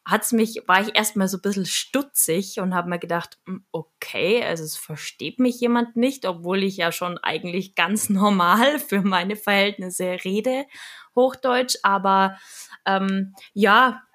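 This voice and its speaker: A woman's voice: medium pitch, a little slow. A woman from Munich with a Bavarian accent, a trained radio and voice speaker, chatting casually and laughing often. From Munich, Germany. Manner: lively, laughs often while telling stories. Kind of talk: casual conversation.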